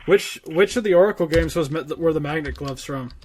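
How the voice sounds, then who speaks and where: A man with a somewhat high voice co-hosts a casual gaming podcast. He talks in a loose, informal way and swears freely. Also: a little fast, very noisy. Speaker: podcast co-host.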